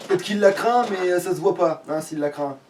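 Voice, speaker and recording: A man's voice: high-pitched, a little fast, darkish tone. A man giving casual, sweary, grumbling commentary as he plays a game. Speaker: let's play gamer. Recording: noisy room, distant microphone.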